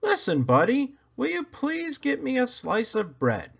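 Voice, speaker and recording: A man puts on a mother rabbit from a storybook, insistent and emphatic. The pitch is lifted high and the words are stretched slowly. The recording is slightly dull. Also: huge pitch swings, gravelly, fairly bright tone, full character voice. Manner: emphatic, drawn-out repeating. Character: insistent mother rabbit asking her son.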